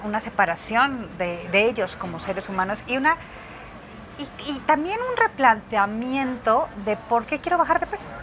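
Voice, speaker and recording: A woman's voice: fairly high pitch, fairly bright tone, slight background noise. A woman with a Mexican accent, co-hosting a podcast in casual chat, rambling and restarting her sentences as she recommends films. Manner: chatty, rambling, with many restarts and fillers.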